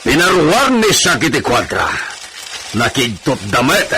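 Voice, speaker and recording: An actor with an Ilocano accent playing a hot-tempered, imperious landowner and husband in a radio comedy-drama, exclaiming indignantly. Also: male voice, mid-range voice, very animated, unhurried, sharp tone, a little hiss or hum. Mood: furious, alarmed.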